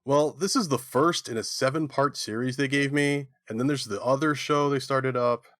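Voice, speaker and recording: A man's voice: mid-range voice, moderate pace, fairly bright tone, dry recording, lightly acted. Man as a glib gnome schemer, close and dry. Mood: weary, scheming. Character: scheming, chatty male gnome stuck with editing. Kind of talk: comedic audio skit acting.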